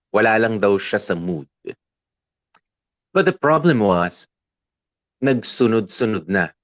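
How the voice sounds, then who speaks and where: A man's voice: medium pitch, dry recording, natural voice. A man with a Filipino accent playing a patient, troubled young boyfriend, narrating his love story in a radio drama. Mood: wistful, resigned. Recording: slightly muffled, music underneath. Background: background music.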